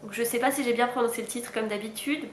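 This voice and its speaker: Young woman, book vlogger, stuffy cold, high-pitched, frank chatty tone, roomy echo. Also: steady tone, quick pace, slight background noise. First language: French. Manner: chatty, frank, with sighs and little laughs. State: sick with a stuffy nose. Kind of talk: casual reading update.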